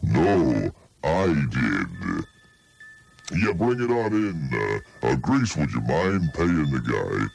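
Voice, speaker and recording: A greedy monster under the bed growls out his demands. His voice sits extremely low and grinds with gravel, yet it swings widely as he wisecracks and pushes for more food. Faint music plays behind him. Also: big pitch swings, takes it slowly, darkish tone, exaggerated voice. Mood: demanding. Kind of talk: comedy radio bit.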